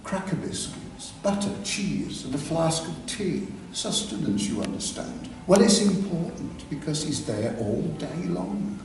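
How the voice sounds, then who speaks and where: A man voicing a camp, rotund, softly spoken English gossip, confiding and genteel, in a story reading. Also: fairly high pitch, big pitch swings, unhurried, creaky, hardly any pauses, voice pushed up, exaggerated voice. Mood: confiding, amused. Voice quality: soft-spoken. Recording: echoey room, distant mic, background noise.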